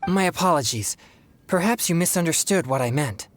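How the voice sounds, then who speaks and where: An actor playing an earnest, polite young exorcist in a video game, speaking in a formal, measured, self-controlled way. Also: male voice, fairly high pitch, animated, soft background music, natural voice. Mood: anxious, apologetic.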